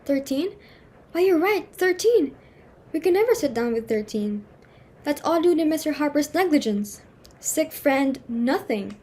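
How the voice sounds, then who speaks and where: Woman acting a high-voiced fussy society hostess. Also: animated, measured pace, dark tone, slight background noise. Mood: flustered, indignant. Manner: theatrical, exclamatory, fretful. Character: fussy, snobbish, scheming middle-aged society hostess and mother. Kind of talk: dramatic play reading.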